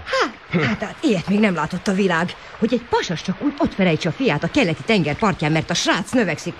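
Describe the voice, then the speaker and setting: A male actor playing a bossy, energetic teenage boy in a Hungarian radio drama, briskly giving his friends orders. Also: high-pitched. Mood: astonished, decisive. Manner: brisk, commanding. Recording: noisy 1980 radio play recording.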